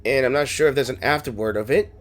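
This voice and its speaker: A man's voice: mid-range voice, quick pace, slight background noise. A man giving casual, playful game playthrough commentary, thinking aloud and reacting, with game music behind. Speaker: gaming streamer.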